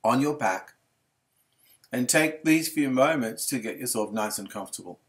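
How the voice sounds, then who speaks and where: Male yoga nidra instructor, mid-range pitch, soothing and unhurried, gentle guided-meditation delivery. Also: lively intonation.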